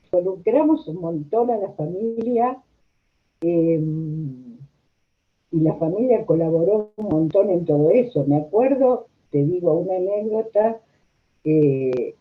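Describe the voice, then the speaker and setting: Older female interviewee from Argentina, Rioplatense accent. Anecdotal, conversational telling through thin phone-like audio. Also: low voice. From La Plata, Argentina. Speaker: interviewed social worker. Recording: tinny, narrow phone-like audio.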